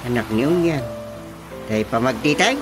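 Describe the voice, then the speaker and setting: A man acting an excitable, exasperated village chairman in an Ilocano radio drama comedy, exclaiming and scolding theatrically with an Ilocano accent. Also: mid-range voice, normal speed, music in the background, natural voice. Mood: puzzled, mocking.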